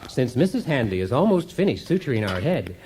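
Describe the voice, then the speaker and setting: Man playing an animated, oblivious DIY show host. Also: medium pitch, fairly quick, slight background noise, lightly acted, low-quality recording. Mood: chipper, unflappable. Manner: chirpy instructor patter, unflappable. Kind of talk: radio comedy parody of instructional show.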